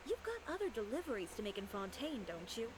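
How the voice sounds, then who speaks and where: Stern, cool fashion designer. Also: female voice, fairly high pitch, big pitch swings, quick pace. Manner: cool, probing and strict. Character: stern, composed adult fashion designer woman. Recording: game audio with background noise.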